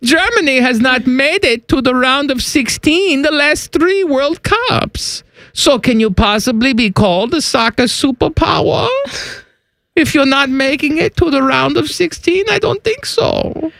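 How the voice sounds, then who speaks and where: A man putting on a high, strained, nasal voice as a pompous German man talking about soccer. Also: expressive, unhurried, creaky, a bit bright, no room sound, exaggerated voice. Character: German man Wolfgang, pompous sports pundit. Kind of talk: comic impression in a podcast chat.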